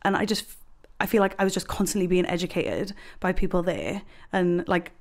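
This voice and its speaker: A woman's voice: on the low side, fairly even intonation, normal speed. Chatty young woman from Wales. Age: 20s. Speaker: podcast guest. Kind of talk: casual personal chat.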